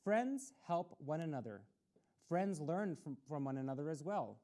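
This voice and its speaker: A woman with a low, soft-toned voice hosts a read-aloud for children. Her intonation moves up and down in a very lively way. She uses simple, instructive phrasing and puts questions to her young listeners. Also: moderate pace. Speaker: story time host reading a picture book to children. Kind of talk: read-aloud storytime with explanatory asides.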